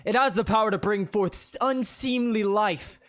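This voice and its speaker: A narrator voicing a self-righteous blond man who preaches loudly against magic in slow, declaiming speech. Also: medium pitch, voice pushed up, lightly acted. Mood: outraged.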